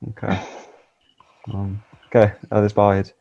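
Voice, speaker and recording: Gravelly teenage boy praying haltingly. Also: lowish voice, completely flat delivery, a little mellow, lo-fi sound, slight background noise. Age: teens. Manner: halting, many fillers. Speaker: youth member leading prayer. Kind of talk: spontaneous prayer.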